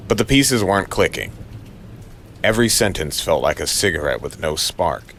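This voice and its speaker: Low voiced guy doing moody noir narration, short dramatic phrases, rain noise behind him. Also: very animated, normal speed, fairly bright tone. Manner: brooding, dramatic, clipped phrases.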